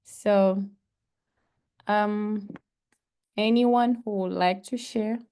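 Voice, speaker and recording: A woman's voice: mid-range voice, steady tone, extremely slow, mellow, clear, resonant tone. A young adult woman with a Kenyan accent, an international student speaking earnestly at a panel, with frequent fillers and restarts. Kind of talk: presentation and discussion.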